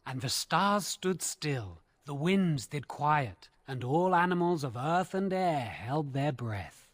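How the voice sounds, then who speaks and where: A devout preacher of a fantasy game, reciting scripture in a fairly high male voice. The delivery is slow and reverent, with expressive rises and falls. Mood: solemn, reverent. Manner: measured, reverent declaiming.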